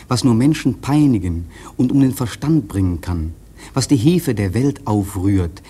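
Husky dark-voiced guy narrating a radio play, brooding and solemn, kinda rhetorical. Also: fairly low pitch.

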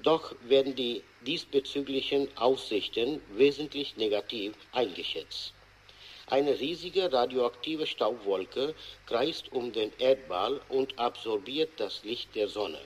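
Grave male expert for radio drama; slow, deliberate delivery, medium pitch, slight hiss. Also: expressive, rough recording. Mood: sober, grave. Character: sober male expert reporting radiation dangers. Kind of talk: 1980s radio drama, measured scripted report. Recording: faint background hiss.

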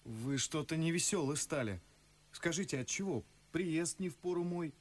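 A forthright young gentleman speaks verse in a radio play. His voice sits fairly high. He comes across as restless and ironic, a suitor with questions. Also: animated, moderate pace. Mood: probing, ironic. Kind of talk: theatrical audio drama in verse.

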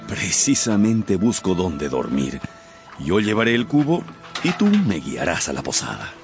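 An actor playing a kindly, protective fatherly man in a radio drama, calm and courteous in manner. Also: low-pitched, measured pace, over music, exaggerated voice. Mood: gentle concern. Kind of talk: Spanish-language radio drama acting.

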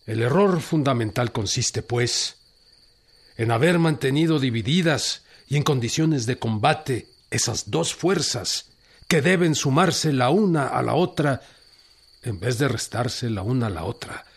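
An actor playing a Mexican revolutionary leader, with solemn, declamatory oratory in a radio drama. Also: male voice, medium pitch, animated, a little slow, breathy edge. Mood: earnest, formal. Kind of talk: radio drama historical speech.

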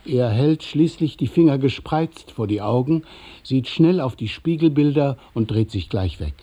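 A man narrating a radio play from a script, describing each movement in a measured, precise and detached way. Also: mid-range voice, lively intonation, dark tone.

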